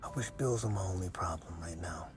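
A man's voice: medium pitch, very animated, fairly quick, a little mellow. A man acting as an earnest middle-aged American father chasing a second chance, in a naturalistic drama. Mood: wistful, hushed. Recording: music behind the dialogue.

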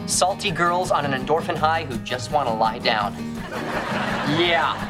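A man's voice: fairly high pitch, animated, a little slow, over loud music. An actor playing a brash, boastful young American womanizer, speaking in a cocky, emphatic sitcom style. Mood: gleefully cocky. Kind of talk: sitcom acting.

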